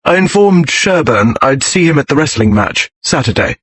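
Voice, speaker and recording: A man's voice: fairly high pitch, animated, moderate pace, fairly bright tone. A man voicing a brooding, proud nobleman in an audiobook, speaking in clipped, formal, dryly witty period style. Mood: curt, composed. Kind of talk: audiobook character voice.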